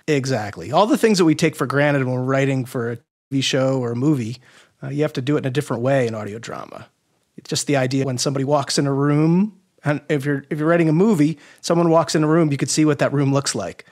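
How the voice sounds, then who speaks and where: A man speaking as a guest scriptwriter, explaining casually in an informal, matter-of-fact way with gentle teasing. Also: mid-range voice, quick pace.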